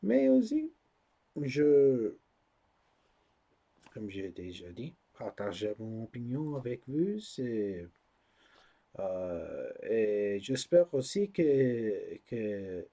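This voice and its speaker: Non-native male vlogger; very slow, halting delivery with constant fillers; low, mellow tone. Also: very animated, vocal fry. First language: not French. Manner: hesitant, many pauses and fillers; searching for words, learner of French. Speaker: channel host. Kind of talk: casual vlog talk to camera.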